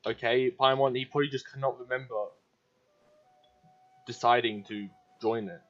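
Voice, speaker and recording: A man's voice: mid-range voice, fairly even intonation, moderate pace, fairly bright tone, faint music behind. Man's dull-sounding casual game commentary. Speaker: video game streamer.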